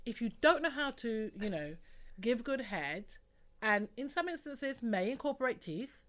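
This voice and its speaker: A woman's voice: fairly high pitch, very animated, moderate pace, fairly bright tone. A woman co-hosting a podcast, talking frankly and bluntly in casual chat. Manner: frank, outspoken, laughs easily. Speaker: podcast co-host.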